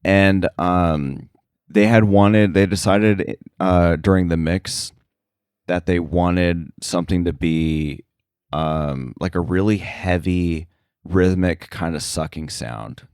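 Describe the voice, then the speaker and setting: A man speaking casually as an interview guest about his work, thinking aloud in a halting way. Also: sits low, a little mellow, clean tone, no room sound, studio quality. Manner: halting, thinking aloud, frequent filler likes. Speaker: sound editor, interview guest.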